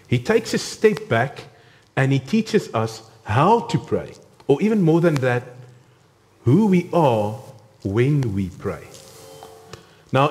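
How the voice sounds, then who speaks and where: A man's voice: medium pitch, a little mellow. A man in his thirties with a South African accent, preaching a conversational sermon to a church congregation with frequent ums. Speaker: guest preacher.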